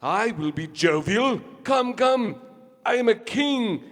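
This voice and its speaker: An actor playing a vain, tipsy Shakespearean stage star with grandiose theatrical delivery in a radio play. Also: male voice, sits high, animated, slow pace, long reverb tail. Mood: grand, mad declaiming.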